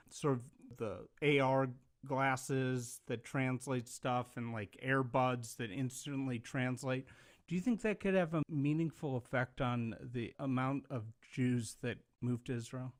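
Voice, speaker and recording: A man hosting a podcast interview, talking in an animated, rambling way with casual swearing. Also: medium pitch, unhurried. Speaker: podcast host.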